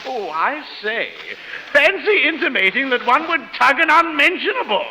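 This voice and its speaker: Very high-pitched pompous British professor. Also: male voice, big pitch swings, normal speed, full character voice. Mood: affronted, prim. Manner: pompous, flowery wording, exclaiming in mock dismay. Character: fussy, pompous, bewildered British professor, literal-minded about slang. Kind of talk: 1930s radio comedy acting. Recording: hissy 1930s radio broadcast.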